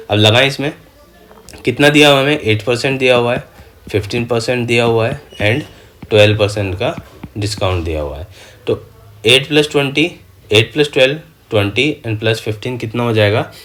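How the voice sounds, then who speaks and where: A man's voice: mid-range voice, a bit bright. A man with a mid-range voice teaches exam maths. He walks through the working step by step. He keeps putting small questions to the learner, answers them himself and checks in with short tags. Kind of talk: exam maths lesson, step-by-step worked solutions.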